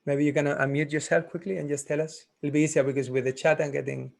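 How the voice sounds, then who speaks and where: A man teaching a class on a video call, taking roll in a friendly, halting way, thinking aloud as he goes. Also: on the high side, little pitch movement, creaky.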